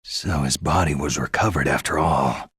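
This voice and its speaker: Gruff veteran soldier, deep, heavily husky, terse, skeptical, sardonic, video game drama. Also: male voice, normal speed, a bit bright, no echo, studio quality, heavily acted. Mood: skeptical, puzzled. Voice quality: gravelly, husky. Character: gruff, sardonic veteran special forces soldier, adult man.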